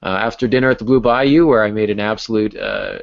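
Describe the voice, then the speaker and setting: A man's voice: fairly low pitch, quick pace, creaky, highs cut off. A man co-hosting a podcast in casual chat, talking in a chatty, personal storytelling way. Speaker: podcast co-host.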